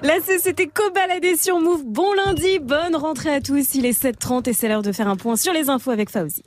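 A woman's voice: sits high, normal speed, dry recording. A woman co-hosting morning radio and presenting the weather in a light, chatty way. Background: music.

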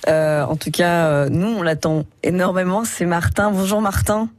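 A woman's voice: fairly low pitch, fairly even intonation, moderate pace, darkish tone, no echo. A woman hosting a radio show, talking casually with eager hesitations. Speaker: radio host.

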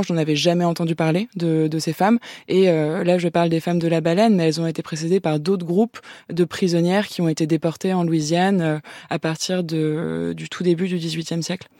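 A woman in her thirties, a novelist, answering interview questions softly with many pauses and restarts. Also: on the low side, steady tone, no echo, studio quality. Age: 30s. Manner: hesitant, many "euh" and restarts, soft-spoken. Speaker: novelist guest.

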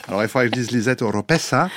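A man hosting a long cultural radio interview, putting his questions in measured, broken-up phrases. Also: mid-range voice, a bit bright, dry recording.

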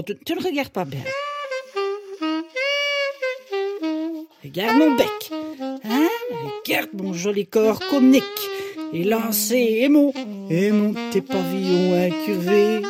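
A woman's voice: medium pitch, slow. A woman playing Gudule, a chatty Belgian saxophone. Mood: coquettish. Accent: Belgian French. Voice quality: put-on Belgian accent, lively and theatrical. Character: chatty female Belgian saxophone, tired and proud. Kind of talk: children's radio story acting. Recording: with loud music behind.

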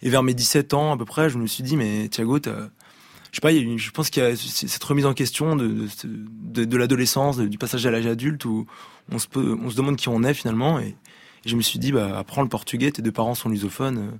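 Quick, gravelly young man, hesitant. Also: medium pitch, steady tone, no room sound. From Rennes, Brittany, France. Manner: hesitant, many restarts and fillers. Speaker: musician guest. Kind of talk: radio interview. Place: radio studio.

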